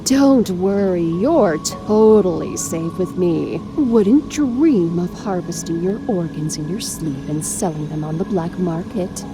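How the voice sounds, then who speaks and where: A woman playing a sardonic, teasing droid with dark humor in a sci-fi audio drama, dry and mocking. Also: lowish voice, slow, hardly any pauses, music in the background. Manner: dry, mocking, leisurely menace.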